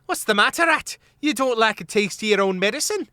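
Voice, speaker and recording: Casting: bold young hedgehog maid, raised and bright, voiced by a male narrator. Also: a little high, expressive, fairly quick, no room sound, studio quality, pitched above the performer's own voice. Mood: scornful. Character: young female hedgehog, spirited.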